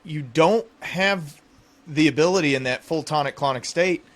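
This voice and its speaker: A man's voice: fairly high pitch, normal speed. A man hosting a medical interview as a fellow clinician, speaking in a conversational way. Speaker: podcast host and clinician.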